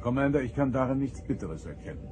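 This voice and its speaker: An actor playing a stern, principled starship captain in a radio drama, speaking in a firm, formal, authoritative way. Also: male voice, medium pitch, expressive, fast talker, natural voice. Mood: composed.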